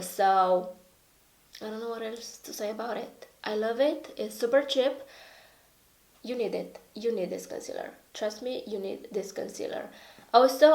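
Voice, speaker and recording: A woman's voice: medium pitch, darkish tone. Chatty stuffy-voiced woman reviewing makeup. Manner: chatty, rambling, self-deprecating, with frequent "okay?" asides. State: stuffy and raspy from a cold. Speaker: beauty vlogger. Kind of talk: casual product review.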